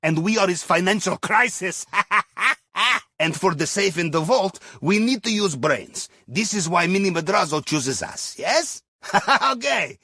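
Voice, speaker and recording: A wry, jovial Russian man planning heists in a video game. His voice is high and husky, with very animated intonation. He chats in droll, folksy broken English with a thick accent. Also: unhurried, no room sound, studio quality, full character voice. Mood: wry amusement. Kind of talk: video game mission dialogue over radio.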